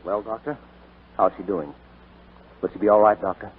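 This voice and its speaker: An actor playing a steady, wistful gentleman friend in a 1940s radio drama. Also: lowish voice, moderate pace, natural voice. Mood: concerned. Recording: tinny, hissy 1942 radio broadcast.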